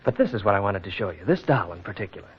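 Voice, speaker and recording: A man playing an earnest, gentle young countryman in a 1940s radio drama. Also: fairly low pitch, big pitch swings, rapid-fire, breathy edge, full character voice. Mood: eager, kindly. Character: lonely, friendly young countryman who makes toys. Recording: muffled, hissy old radio recording.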